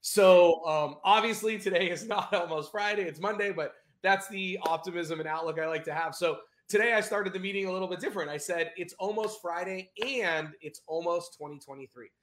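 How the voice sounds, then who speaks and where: A man's voice: sits high, brisk, hardly any pauses, reverberant, a little hiss or hum. A man hosting a casual video interview, talking in an upbeat, chatty way. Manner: upbeat, chatty, energetic opener. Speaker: host.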